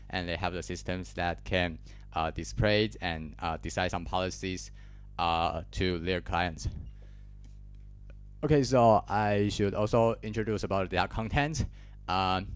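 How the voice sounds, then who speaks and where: A man's voice: lowish voice, clean tone. A man in his twenties from Taiwan with a Taiwanese accent, giving a technical talk in a lecture hall on a handheld mic, hesitant with many fillers and restarts. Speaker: conference speaker.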